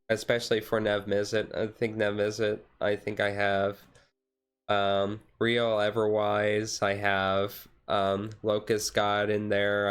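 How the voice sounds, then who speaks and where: A man streamer chatting casually in a relaxed, halting, rambling way, thinking aloud as he goes. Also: on the low side, clean tone. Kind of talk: relaxed casual chat about a card game, ASMR-style stream. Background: music playing softly behind the voice.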